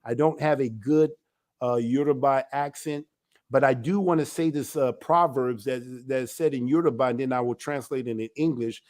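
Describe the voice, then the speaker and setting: A man, an elder of a ministry, giving a religious teaching talk in an emphatic, animated way. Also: medium pitch, normal speed. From United States.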